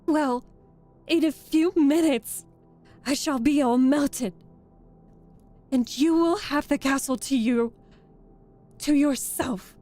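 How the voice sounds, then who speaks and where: A woman's voice: high-pitched, slow pace, long pauses, dark tone, faint music behind, voice pushed up. A woman voicing a wicked old witch in a dramatic storybook reading. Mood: wailing, despairing. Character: wicked old witch, scornful, wailing as she melts.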